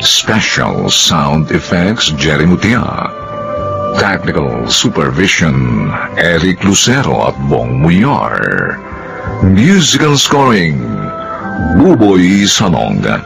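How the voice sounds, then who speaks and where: Deep, gravelly solemn male narrator. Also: wildly swooping pitch, music in the background. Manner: slow, solemn. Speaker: narrator and announcer. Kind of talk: scripted radio narration.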